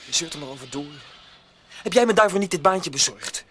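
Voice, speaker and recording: A defiant, aggrieved man in a radio drama. His voice is high and sharp, swinging widely as he stubbornly stands his ground. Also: lively intonation, brisk. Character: defiant, put-upon man straining for independence. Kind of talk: Dutch radio play acting.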